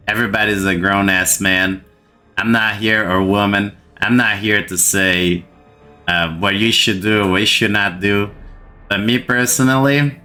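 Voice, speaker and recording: A man's voice: fairly low pitch, bright, forceful tone. A man from Brazil with a Brazilian accent, hosting a gaming channel and ranting to camera in an exasperated way, repeating words for emphasis. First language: Portuguese. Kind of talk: frustrated gaming rant to camera.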